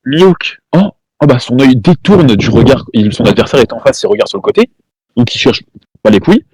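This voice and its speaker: A man's voice: mid-range voice, very animated, brisk, darkish tone, slight background noise, low-quality recording. A man streaming from home, arguing heatedly with a caller, repeating himself, talking over the other person and throwing insults. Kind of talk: heated live-stream argument.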